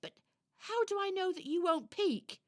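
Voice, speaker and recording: A British woman playing a sweet, polite young female traveller in a children's radio play, gentle and earnest. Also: very high voice, very animated, moderate pace, pitched above the performer's own voice. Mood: curious, doubtful.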